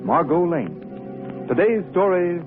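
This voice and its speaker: A man's voice: high-pitched, huge pitch swings, takes it slowly, music in the background. A man announcing in polished, declamatory old-time radio style. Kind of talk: 1930s radio announcing, scripted ad read. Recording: tinny old radio recording.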